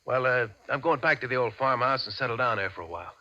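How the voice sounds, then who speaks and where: Hesitant, weary ex-convict, sharp-edged voice. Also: male voice, mid-range voice, animated, quick pace. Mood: polite, guarded. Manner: hesitant, polite. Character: weary, reserved ex-convict, reluctant guest. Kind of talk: 1940s radio drama acting. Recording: dull vintage radio recording.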